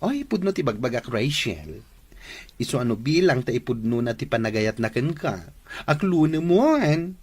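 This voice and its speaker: A playful young best friend in a radio drama. Her pitch swings widely as she teases and encourages, stretching words for emphasis. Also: very animated, normal speed, a little mellow, slightly rough, exaggerated voice. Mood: teasing.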